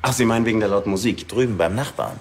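A man's voice: fairly low pitch, rapid-fire, slight background noise, natural voice. An actor playing a polite, slightly wired surgeon in his forties, speaking matter-of-factly in a TV crime comedy.

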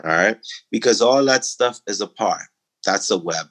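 A middle-aged Bahamian man speaking in a low voice during an interview. He builds his points emphatically, lifting his pitch into a preaching lilt as he lists examples and makes his case. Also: expressive, normal speed, a bit bright. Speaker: interview guest. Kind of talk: conversational interview.

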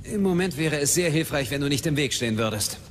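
An actor playing a brisk, authoritative military captain with clipped delivery in a radio drama. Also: male voice, a little high, animated, music in the background, lightly acted. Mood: commanding. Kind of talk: German radio drama acting. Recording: long hall-like reverb.

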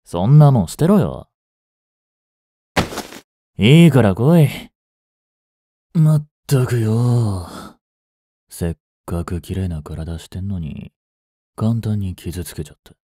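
Audio drama role: languid young tough guy voiced by a man, low-pitched with swooping intonation, gruff, teasing childhood friend. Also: expressive, slow pace, long pauses, dark tone, dry recording. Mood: gruff concern. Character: languid, blunt young male delinquent, protective childhood friend. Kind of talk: intimate Japanese audio drama acting.

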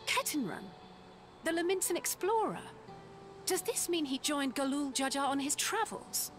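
An inquisitive young adventurer in a video game. Her voice is high and very lively in pitch, eager in delivery, over faint music. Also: normal speed. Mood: surprised, curious. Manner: eager questioning. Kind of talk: scripted video game cutscene acting.

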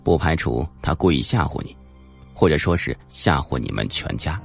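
A man voicing a calm, guarded male psychologist in an audiobook, reasoning in a measured, placating way. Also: a bass voice, creaky, faint music behind, exaggerated voice. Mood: skeptical, reasoning.

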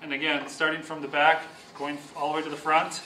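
A young man with a bright, fairly high voice explains a hands-on task, calm and step by step. He sounds set back from the camera microphone. The large room around him makes his voice ring with echo. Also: brisk, far from the mic, a little hiss or hum. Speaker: speed skating sharpening instructor. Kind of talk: instructional demonstration. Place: large office room with whiteboard and windows. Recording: echoey room, voice from off-mic.

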